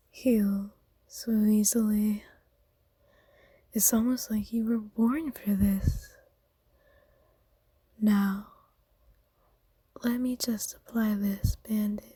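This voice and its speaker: A woman voicing a kind, doting, centuries-old vampire in a soft, breathy whisper, speaking formally and tenderly in an ASMR roleplay. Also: mid-range voice, no echo. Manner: slow, tender, formal wording.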